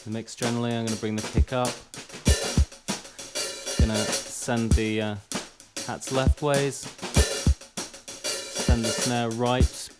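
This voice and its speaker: A man's voice: medium pitch, little pitch movement, slow, long pauses. A man presenting an informal music production tutorial, explaining step by step in a slightly hesitant way. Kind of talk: informal software tutorial demonstration. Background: drum and music playback.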